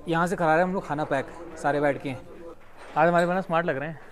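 A man's voice: on the high side, steady tone, fast. A young man vlogging casually outdoors on a clip-on mic, chatty and mixing English words into his talk. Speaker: travel vlogger. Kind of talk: casual vlog chat while walking. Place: outdoors in a busy town market.

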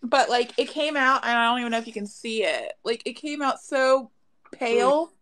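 A woman talks over a webcam in a fairly high voice. She is chatty and casual, and "like" fills almost every sentence. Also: moderate pace, a bit bright. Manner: chatty, heavy use of "like". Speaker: author guest. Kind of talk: casual video call panel chat. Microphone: video call webcam.

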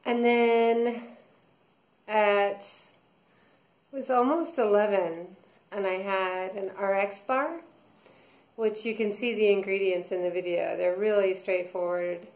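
A woman's voice: mid-range voice, steady tone, slow pace, long pauses, a little mellow. Easygoing woman vlogging in an echoey room. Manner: rambling, many fillers and restarts. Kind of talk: casual daily video diary. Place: small room at home, indoors.

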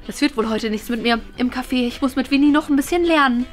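A fussy, determined mother played by a woman with a high voice, in a family web drama. She sounds fretful and insistent, with light music behind her. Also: quick pace, natural voice. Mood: concerned.

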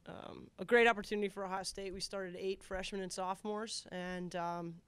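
A middle-aged woman coach answering press questions after a game at a table mic, steady and measured, with many filler words. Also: mid-range voice, clean tone. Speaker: head soccer coach. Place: press conference room at a table. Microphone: table microphone.

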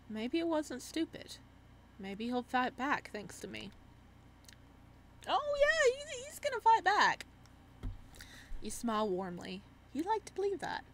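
A woman with a fairly high voice plays through a game on stream. She comments casually and reads on-screen text aloud in an excitable, reactive way. There is faint noise behind her. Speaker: gaming streamer.

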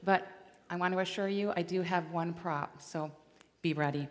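A middle-aged woman from Michigan giving an animated, storytelling chapel talk on a large stage. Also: fairly low pitch, moderate pace. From Michigan, USA. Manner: animated, gesturing while walking the stage. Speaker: chapel speaker. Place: large dark auditorium stage. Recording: long hall reverb.

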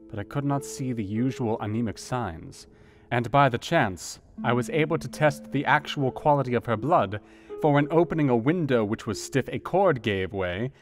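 A man performs a Victorian doctor in a radio play, earnest and formal. He delivers his letter at a measured step in a middling register. Plucked music plays behind him. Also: mid-range voice, lightly acted. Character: earnest male Victorian doctor writing to a friend. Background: music with plucked guitar.

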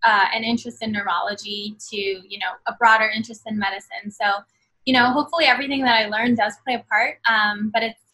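A woman's voice: fairly high pitch, steady tone, fast, sharp tone, low-quality recording. A woman in her twenties, born in Bangladesh and raised in New York City, talking earnestly as a guest over a video call, with many fillers. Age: 20s. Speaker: guest, medical student. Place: small room at home. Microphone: video call webcam.

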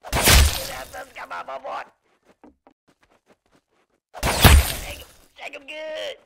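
A man voicing a scruffy, gravelly homeless man rowdily egging on a fight for a video game. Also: very high voice, animated, slow pace, heavy background noise, exaggerated voice. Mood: rowdy, egging on. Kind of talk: video game announcer voice pack.

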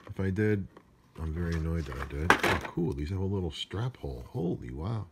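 Grumbling man with a very low voice. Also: expressive, fairly quick. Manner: grumbling, sarcastic. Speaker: unboxing vlogger. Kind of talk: casual off-the-cuff unboxing commentary. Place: indoors at a wooden desk. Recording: noisy handheld recording with handling clicks.

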